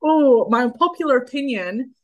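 A woman's voice: high-pitched, lively intonation, moderate pace, a little mellow. Yorkshire woman in her 30s, podcast guest, chatty and cheerful, laughs a lot. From North Yorkshire, England. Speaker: guest, accessibility consultant. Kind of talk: casual podcast interview.

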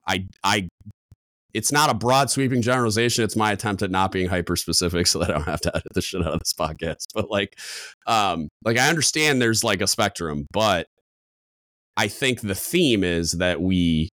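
A man co-hosting a podcast in casual discussion, with a deep booming voice, talking loud and coming on strong, with many restarts and fillers. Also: animated, normal speed, dry recording. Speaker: podcast co-host.

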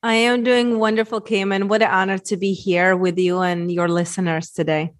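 A woman's voice: mid-range voice, little pitch movement, normal speed. A woman in her forties with a Czech accent, earnestly telling her personal story as a podcast guest, repeating phrases for emphasis. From Czech Republic. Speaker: podcast guest, women's transformation coach.

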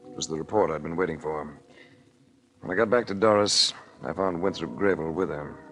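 An American actor playing a cool, wry investigator in a 1940s radio crime drama, with an unflappable, dryly sardonic manner. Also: male voice, deep voice, fairly quick, a bit bright, slight background noise. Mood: matter-of-fact. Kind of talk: 1940s radio detective acting. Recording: vintage 1940s radio recording.

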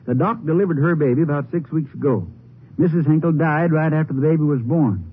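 Husky folksy sheriff, tinny radio. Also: male voice, a little high, brisk. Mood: puzzled, folksy. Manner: folksy, plain-spoken. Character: folksy small-town Texas sheriff. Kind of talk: 1950s radio acting. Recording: tinny, band-limited 1950s radio recording.

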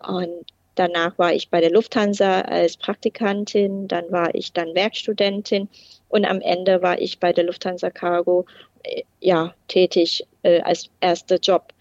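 A woman's voice: fairly low pitch, little pitch movement, a little slow, a little mellow, dry recording. Middle-aged woman, interview guest; hesitant and full of fillers, dry close sound, slightly muffled. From Thailand. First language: Thai. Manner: hesitant, many fillers and restarts. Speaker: guest, port process manager. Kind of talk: interview answers.

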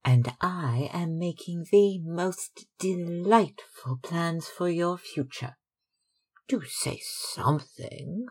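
A lovestruck young stage actress bubbles over with excitement, her voice lifted high and slightly breathy, as a man reads her lines. She gushes and exclaims at an unhurried pace. It is a storybook reading. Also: exaggerated voice. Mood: pleading. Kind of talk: audiobook dialogue reading.

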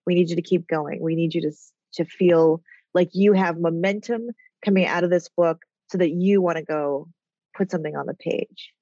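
A woman's voice: fairly low pitch, fairly even intonation, normal speed, warm tone, no room sound, muffled recording. A woman guest in a casual interview, talking in a rambling way with a nasal voice. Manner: rambling, chatty. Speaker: author and executive guest.

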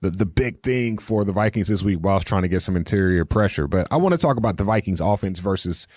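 A man's voice: sits low, lively intonation, fast, a little mellow, dry recording, clean studio sound. A man hosting a sports radio show, introducing segments and putting questions to a guest.

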